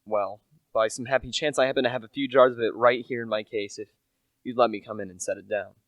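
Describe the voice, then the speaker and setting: A man's voice: mid-range voice, fairly even intonation, fast, a bit bright, no echo, lightly acted. A young man acting a humble, chatty small-time salesman who narrates a radio play in a plain, confiding manner. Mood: polite, ingratiating. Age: young adult. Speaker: narrator and lead character.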